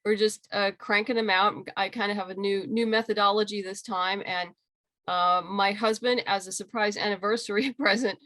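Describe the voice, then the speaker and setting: A woman's voice: mid-range voice, steady tone, moderate pace, fairly bright tone. A middle-aged woman answering interview questions on a video call in a chatty, informal, self-deprecating way. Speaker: interview guest, comic book creator and writer.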